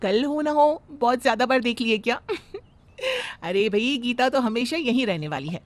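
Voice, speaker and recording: A woman's voice: on the high side, brisk, lightly acted. A woman voicing a spoiled, cheeky young bride who talks back. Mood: sassy. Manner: cheeky, dismissive.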